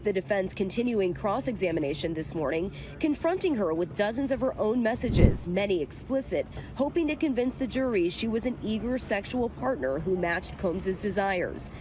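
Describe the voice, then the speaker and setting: Female field reporter, mid-pitched scripted news delivery, clearly audible urban background noise. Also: animated, moderate pace, few pauses.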